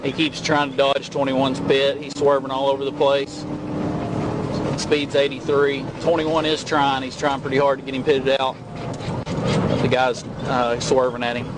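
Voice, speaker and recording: A man working as a police trooper, giving terse, halting, factual pursuit reports over the radio from a moving patrol car. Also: medium pitch, monotone. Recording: very noisy radio inside moving vehicle. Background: loud engine and road noise.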